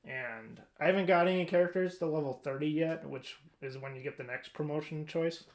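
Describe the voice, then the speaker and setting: A man's voice: on the high side, fairly quick. Casual rambling man chatting about games. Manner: informal, rambling, thinks aloud. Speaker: podcast co-host.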